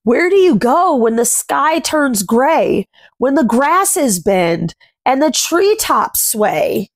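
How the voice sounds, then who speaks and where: Woman, thirties, children's read-aloud host, unhurried pace, slightly high voice, simple questioning phrasing. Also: very animated. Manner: simple, deliberate phrasing with frequent questions to the listener. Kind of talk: storybook read-aloud with commentary and questions to young listeners. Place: small furnished room at home.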